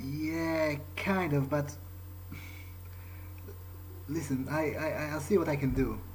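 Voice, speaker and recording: A man's voice: a little high, steady tone, slow, slight background noise. A man thinking aloud in character during a game playthrough, with hesitant, muttered asides. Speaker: gaming video creator. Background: loud game music.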